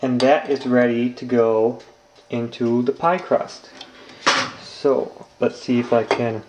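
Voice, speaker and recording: Hombre joven cocinando en una cocina con eco, grabado con la cámara en la mano. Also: male voice, mid-range voice, fairly even intonation, slow. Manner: rambling, lots of ums and restarts. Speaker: home cooking vlogger. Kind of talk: casual cooking demonstration. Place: home kitchen, indoors. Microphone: handheld camera mic. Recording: echoey kitchen, faint noise.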